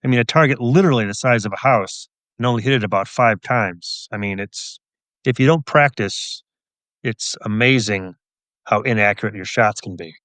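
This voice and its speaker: A man who works as a police officer and author, answering interview questions in a measured, explanatory, conversational way. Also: lowish voice, fairly bright tone, no echo.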